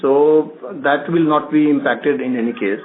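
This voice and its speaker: Halting man on a tinny phone line. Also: on the high side, fairly even intonation, a little hiss or hum. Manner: halting, heavy with "uh" fillers. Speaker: company executive answering analysts. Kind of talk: earnings call answers.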